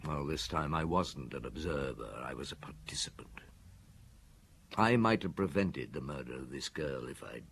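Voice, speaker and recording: A man playing a weary, guilt-ridden, methodical middle-aged police chief in a radio drama, with a husky, measured delivery. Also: extremely low pitch, lively intonation, a bit bright, a little hiss or hum, full character voice. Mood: weary, regretful. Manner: measured, reflective.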